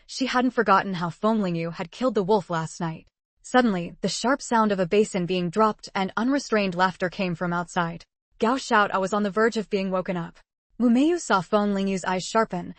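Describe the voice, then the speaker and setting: A woman narrating a translated web novel in an even, measured storytelling voice. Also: mid-range voice, no echo. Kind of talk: scripted audiobook narration.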